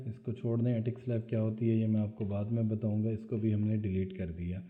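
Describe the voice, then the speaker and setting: A man narrates a drafting tutorial, describing each step as he clicks through it. His delivery is even and flat, with little rise and fall. The audio is thin and tinny, like a phone line. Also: lowish voice. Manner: explanatory, steps through actions aloud. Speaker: CAD drafting instructor. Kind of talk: screen-recorded software tutorial.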